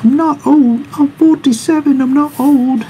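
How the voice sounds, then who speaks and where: Man doing a whiny kid-like complainer, pitched way up, petulant, slight room noise. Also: fairly even intonation, unhurried, dark tone, low-quality recording. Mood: indignant whining.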